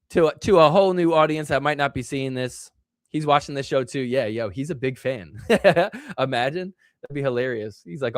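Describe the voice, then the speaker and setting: Man, live stream host, slightly high voice, rambling with fillers, recovering voice, dry close sound. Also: no echo. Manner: rambling, filler-heavy, reads chat aloud. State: recovering from illness and voice loss. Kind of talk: casual live stream chat.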